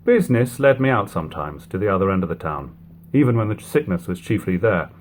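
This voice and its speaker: A man reading an old literary book aloud in a measured, phrase-by-phrase narration, on a lo-fi home recording. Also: low voice, big pitch swings. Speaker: audiobook reader. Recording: lo-fi home recording, background hum, noise.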